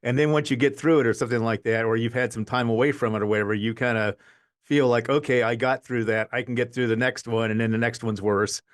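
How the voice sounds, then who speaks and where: A man's voice: medium pitch, fairly even intonation, fast talker. A man hosting a podcast, asking a long, rambling question in a casual way. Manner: rambling, lots of fillers and restarts. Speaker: podcast host. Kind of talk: casual interview chat.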